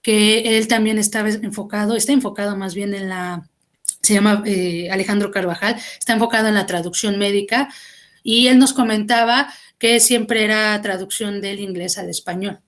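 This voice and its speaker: A woman's voice: mid-range voice, steady tone, moderate pace. Mexican woman explaining at length. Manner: thoughtful, explaining at length with small restarts. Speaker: guest translator. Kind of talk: conversational class interview.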